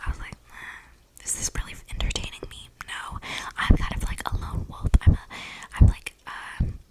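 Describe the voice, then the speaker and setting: A woman voicing an eccentric, flippant young vampire in a breathy ASMR whisper, rambling in casual slang close to the microphone. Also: natural voice, low-quality recording. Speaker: ASMR roleplayer. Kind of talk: whispered ASMR storytelling roleplay. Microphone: close microphone picking up breaths.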